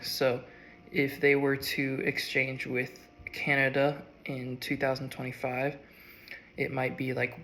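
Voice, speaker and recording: A young man, sick and strained, giving a halting class presentation. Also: mid-range voice, gravelly, fairly bright tone, a little hiss or hum. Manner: halting, with frequent ums and uhs. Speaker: student.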